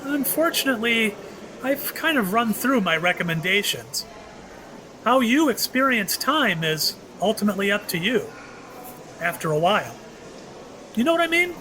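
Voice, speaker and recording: Male actor as enigmatic shopkeeper, high-pitched man, swooping intonation, polite, apologetic, hesitant, audio play, noisy recording. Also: sing-song, moderate pace, pauses often, pushed and bright, full character voice. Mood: cryptic, calm. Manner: courteous, hesitant, cryptic. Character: mysterious, apologetic male shopkeeper offering tastes of time. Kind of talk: audio drama acting. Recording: clearly noisy background.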